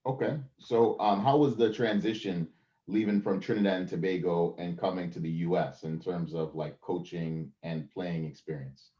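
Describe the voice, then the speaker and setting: Low-voiced man hosting a podcast. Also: fairly even intonation, moderate pace, warm tone, husky, highs cut off. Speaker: podcast host.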